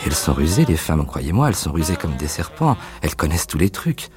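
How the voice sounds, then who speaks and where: A man's voice: very deep voice, very animated, a little fast, music in the background. A man voicing a bitter, suspicious younger man with a harsh, agitated way of talking. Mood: bitter ranting.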